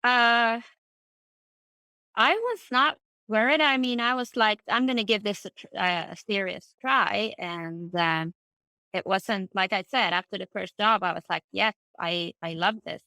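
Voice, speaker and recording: Bright-voiced Swedish-speaking woman, middle-aged. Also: medium pitch, unhurried, no echo. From Finland. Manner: relaxed, thoughtful, with many fillers and restarts. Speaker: interview guest, freelance translator. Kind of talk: casual conversational interview.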